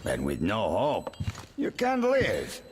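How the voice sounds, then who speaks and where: An actor playing a suave, menacing warlord baron in a video game, speaking in a deliberate, pompous and courteous way with a gravelly voice. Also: male voice, fairly low pitch, wildly swooping pitch, a little hiss or hum, full character voice. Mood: smug calm. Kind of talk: dramatic video game cutscene acting.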